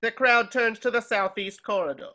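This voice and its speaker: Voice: medium pitch, moderate pace, pushed and bright. A narrator reading scripted stage directions at a table reading.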